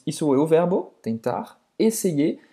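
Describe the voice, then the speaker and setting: A man's voice: fairly high pitch, very animated, a little slow, warm tone. A Frenchman with a French accent speaking Portuguese, co-hosting a casual language lesson with short, agreeing replies. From France. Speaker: French teacher, co-host.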